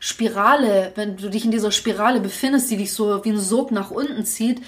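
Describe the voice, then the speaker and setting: A woman's voice: mid-range voice, a little fast. A young woman co-hosting a podcast, speaking candidly in long reflective runs with frequent restarts. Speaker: podcast co-host. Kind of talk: casual podcast conversation.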